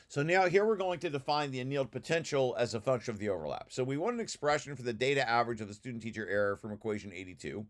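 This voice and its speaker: A man giving an informal technical walkthrough, explaining as he reads and often stopping to correct himself. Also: medium pitch. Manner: halting, self-correcting, explanatory. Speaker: presenter reading through own technical paper.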